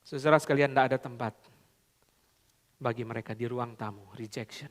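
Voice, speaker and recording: A man preaching a sermon, swinging between loud high emphasis and low quiet asides, often slipping into English and casual slang. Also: steady tone, fairly quick, big-room sound. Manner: repeats "saudara" constantly, mixes in English phrases and colloquial Jakarta slang. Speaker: pastor.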